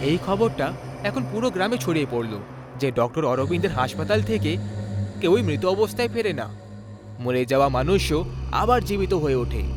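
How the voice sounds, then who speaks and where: A male storyteller narrates a scripted horror tale. His voice sits somewhat high for a man, and music plays clearly behind it. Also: sing-song, brisk.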